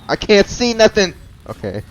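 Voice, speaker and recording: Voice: mid-range voice, very animated, normal speed, rough recording. A gamer giving casual, informal, reactive commentary over a video game playthrough. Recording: game music behind the voice. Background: game music.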